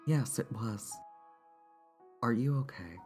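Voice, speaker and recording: Actress as a low, mellow, composed woman. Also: lively intonation, soft background music, exaggerated voice. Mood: sympathetic. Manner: measured, careful, sympathetic. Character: composed, kindly woman reading a final letter. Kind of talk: audio drama dialogue.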